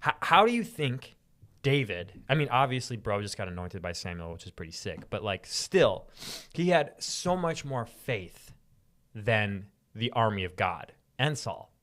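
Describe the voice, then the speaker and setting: A man co-hosting a podcast, speaking in an expressive, informal way with a theatrical streak. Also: lowish voice, a little slow. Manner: slangy, expressive, theatrical when reading. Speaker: podcast co-host. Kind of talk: casual podcast chat with animated Bible reading.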